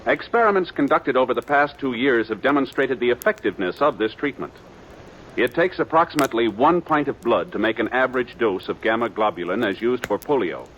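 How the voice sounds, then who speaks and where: A formal 1950s radio announcer reading a public service message in a measured voice. His voice is mid-pitched and faint hiss runs under the broadcast. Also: expressive, fairly bright tone, poor audio.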